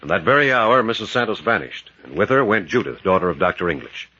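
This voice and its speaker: An actor playing a bold, intense adventurer captain, narrating and acting dramatically in 1940s radio style. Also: male voice, low-pitched, big pitch swings, fast, sharp tone, heavily acted. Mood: grave. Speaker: hero and narrator. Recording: dull, noisy vintage radio recording.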